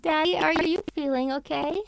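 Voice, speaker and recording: A woman's voice: high-pitched, steady tone, normal speed, vocal fry. A woman playing a timid, pleading young daughter in an audio horror drama. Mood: worried, puzzled.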